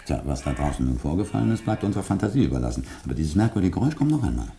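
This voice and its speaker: A deep-voiced, dry man lays out the facts of a case in a radio drama. He is measured and mellow, with gravel in the voice and lively intonation. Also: slight background noise, full character voice. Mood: matter-of-fact. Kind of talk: radio play dialogue.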